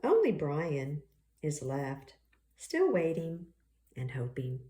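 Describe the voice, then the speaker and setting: A woman with a slightly low voice reads a children's story aloud. She takes her time and phrases each line expressively, with her pitch moving up and down a great deal. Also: wildly swooping pitch, slow, dark tone. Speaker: storybook reader.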